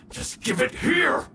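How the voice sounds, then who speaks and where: An actor playing a hysterical, vengeful villain in a game, with a strained, gloating, theatrical delivery. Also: male voice, slight background noise. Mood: frantic rage. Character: hysterical, vengeful male villain with a bomb plot. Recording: echoey, distant, loud music behind.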